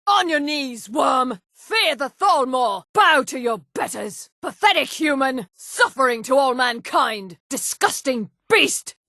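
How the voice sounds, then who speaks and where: An actress playing a proud, haughty high elf woman in a fantasy video game, speaking with crisp, condescending articulation. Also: big pitch swings, slow, few pauses, sharp tone, no room sound, clean studio sound, exaggerated voice. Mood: imperious, menacing. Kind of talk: scripted video game voice lines.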